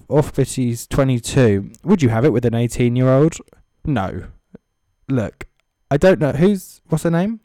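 A man's voice: mid-range voice, warm tone, no echo, clean studio sound. A man giving casual, off-the-cuff comedic commentary as a host, with sarcastic, mocking asides. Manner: sweary. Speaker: comedy host reading social media comments.

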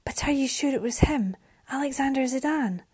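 A woman voicing a gentle young storybook heroine, emotional, anguished and pleading. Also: mid-range voice, normal speed, husky, close to an everyday voice. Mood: shocked, pleading.

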